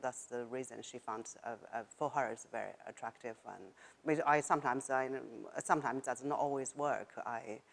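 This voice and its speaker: A middle-aged woman with a Chinese accent and a remarkably low voice speaks in a casual interview. Her English is a second language, and she pauses often with fillers as she thinks aloud. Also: creaky. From China. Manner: thoughtful, halting, with frequent fillers and laughs. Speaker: author interviewee.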